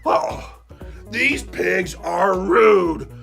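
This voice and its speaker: A storyteller plays an aggrieved big bad wolf in a children's storybook tale. The voice is bright and forceful, expressive and full of exasperated repetition as he insists he meant well. Music plays along with it. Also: mid-range voice, extremely slow, vocal fry, exaggerated voice. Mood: aggrieved, explaining. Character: aggrieved, self-justifying big bad wolf, telling his side. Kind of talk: animated first-person storytelling for children.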